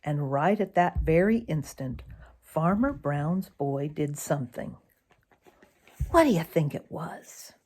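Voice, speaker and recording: A woman narrating a children's story in a deliberate, dramatic way, as if to young listeners. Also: sits low, animated, darkish tone, slightly rough. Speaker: storybook reader.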